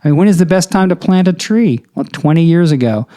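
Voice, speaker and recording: A man's voice: fairly high pitch, lively intonation, a little fast, warm tone. A middle-aged man hosting a podcast, earnestly exhorting songwriters with rhetorical questions in a motivational monologue. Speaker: podcast host and songwriting coach.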